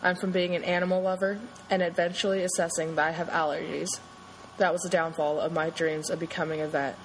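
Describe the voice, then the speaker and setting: Young adult woman, slightly low voice; steady, sincere poetry reading over faint noise. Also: fairly quick, poor audio. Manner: steady, earnest recitation. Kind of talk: reading a personal poem aloud.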